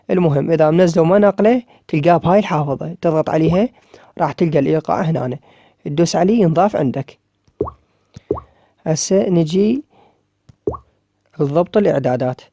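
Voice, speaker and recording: A man with an Iraqi Arabic accent walking viewers through an app step by step, repeating each tap and filling pauses with a drawn-out "ah". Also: fairly high pitch, mellow, dry recording, studio quality. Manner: repetitive, pointing out each tap with drawn-out "ah" fillers. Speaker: app tutorial maker. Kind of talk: step-by-step screen tutorial.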